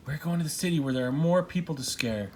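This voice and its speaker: Bossy storybook witch sister voiced by a man, unkind, curt, expressive, noisy home recording. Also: fairly high pitch, fast, pitched above the performer's own voice, lightly acted. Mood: dismissive. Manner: curt, commanding.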